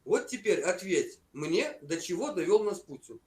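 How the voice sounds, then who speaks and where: A man's voice: high voice, sing-song, moderate pace. A man arguing on a video call, combative, interrupting and repeating himself. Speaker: chat roulette stranger. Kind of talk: heated argument.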